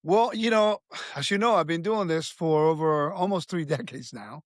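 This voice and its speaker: A man in his fifties from Puerto Rico speaks with a slightly raised pitch, recounting his life in an earnest, halting way. The sound is close and dry, with no room around it. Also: no echo. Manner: earnest, with frequent restarts and fillers. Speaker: guest. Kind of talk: conversational life story.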